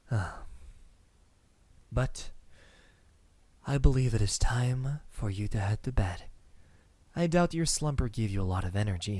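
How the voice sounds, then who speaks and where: A man playing a devoted, courtly vampire lover in an anime-style ASMR roleplay, speaking softly, intimately and formally. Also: mid-range voice, no room sound, close to an everyday voice. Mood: tender, caring. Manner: soft, slow, intimate, formal and courtly phrasing. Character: devoted adult male vampire, courtly, tender, possessive lover.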